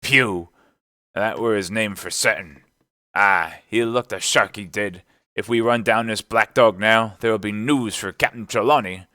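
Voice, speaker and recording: A man voicing a bluff, sly old one-legged sailor landlord in a storybook, with forceful exclamations and salty seaman's talk. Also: deep voice, lively intonation, a little slow, dry recording, clean studio sound, heavily acted. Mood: excited.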